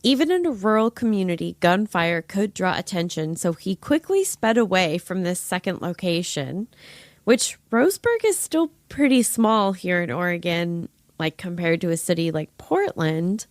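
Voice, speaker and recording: A woman podcast host with a very lively, varied pitch and a close, dry sound. She reads scripted narration in a wry, slightly ornate way, then slips into casual, playful asides. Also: moderate pace, no room sound. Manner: wry, playful narration with old-fashioned flourishes. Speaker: true-crime podcast host.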